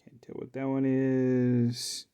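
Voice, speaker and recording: A man's voice: medium pitch, monotone, slow pace, mellow, clean tone. Man musing aloud at his workbench. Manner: musing, thinking aloud to himself. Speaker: hobbyist repairer presenting a video. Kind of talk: casual unscripted workbench commentary.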